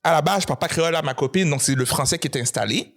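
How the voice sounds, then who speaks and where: A man, a podcast guest and author, speaking in a thoughtful, measured way with frequent hesitations. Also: a little high. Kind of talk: casual conversation.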